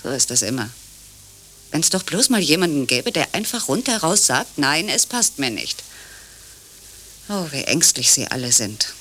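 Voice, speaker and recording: A suspicious, needling woman in a radio play, with clear noise behind her. She is insistent, her bright voice swinging in animated probing. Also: low voice, normal speed, long pauses. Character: distrustful, needling visitor pressing for honesty.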